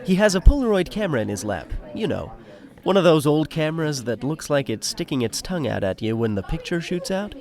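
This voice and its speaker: A man's voice: fairly high pitch, a little fast. A man narrating a scripted comedy story in a playful, conversational and wry way. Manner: wry, animated.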